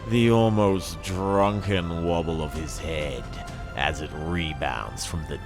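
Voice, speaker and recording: A man reading a dramatic story as scripted narration, with a theatrical, savouring delivery and weighty pauses. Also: deep voice. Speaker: audiobook-style reader. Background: music.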